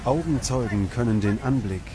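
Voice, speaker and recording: A man reading scripted documentary narration in a measured, dramatic way. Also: mid-range voice, animated, a crowd in the background. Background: music bed.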